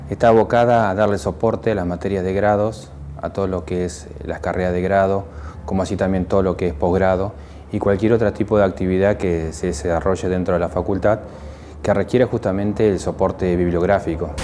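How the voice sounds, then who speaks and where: A man with an Argentine accent speaks in a low, somewhat mellow voice. He explains things as a library worker being interviewed, with some noise behind him.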